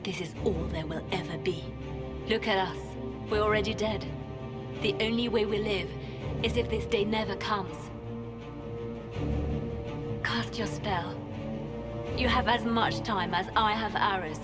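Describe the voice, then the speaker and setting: A woman's voice: mid-range voice, fairly even intonation, unhurried, pauses often, close to an everyday voice. A woman playing a grim, devout archer in a video game, solemn and intense. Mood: grim resolve. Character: grim, devout female archer, resolved to die. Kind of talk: dramatic video game acting. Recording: very loud music over the voice.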